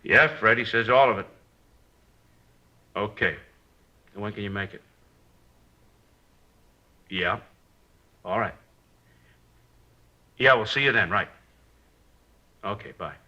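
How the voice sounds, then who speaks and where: A surly fence in a radio crime drama. He is wary and grudging, in a low, piercingly bright, tense voice. The vintage recording sounds muffled. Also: takes it slowly, pauses often, heavily acted. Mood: harried. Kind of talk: old-time radio crime drama acting.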